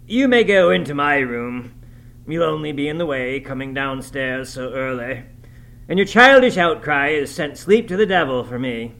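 Brooding, tormented landlord, pitched up. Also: male voice, lively intonation, normal speed, gravelly, fairly bright tone. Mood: gruff, suppressed grief. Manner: curt, commanding, scornful, emotionally volatile. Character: brooding, tormented, gruff landlord, a grown man. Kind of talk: dramatised audiobook reading of a classic novel.

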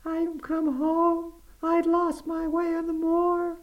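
A man's voice: extremely high pitch, monotone, highs cut off, pitched above the performer's own voice. Man doing a wailing little ghost girl. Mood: wailing plea. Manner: wailing, slow and drawn-out. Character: sobbing ghost of a young girl at a window.

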